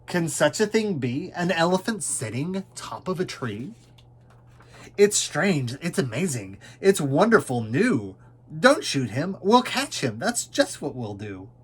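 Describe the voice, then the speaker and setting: An astonished storybook hunter, acted by a man, exclaims loudly with big swings in pitch. He sounds greedy and scheming, in a slow, emphatic delivery. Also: big pitch swings, slightly rough, heavily acted. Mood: amazed. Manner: loud, exclaiming. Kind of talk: children's storybook character reading.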